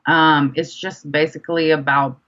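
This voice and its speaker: A young woman speaks through slightly dull, lo-fi audio. Her voice sits quite low yet comes across bright and forward, as she answers informally and expressively in a casual interview. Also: flat delivery, normal speed. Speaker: guest rapper and songwriter being interviewed.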